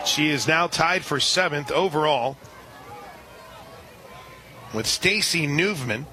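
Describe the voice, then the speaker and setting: Man, radio sports announcer, play-by-play, fairly high voice, outdoor ballpark noise behind. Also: slow, crowd noise. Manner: calm steady call, surging loud and high on big plays. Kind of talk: live softball radio commentary.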